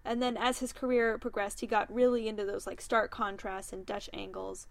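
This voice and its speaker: A woman's voice: mid-range voice, level tone, normal speed, gravelly. A woman co-hosting a casual podcast, explaining things with hedges and easy laughter. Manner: explanatory, laughs easily, hedging fillers. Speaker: podcast co-host.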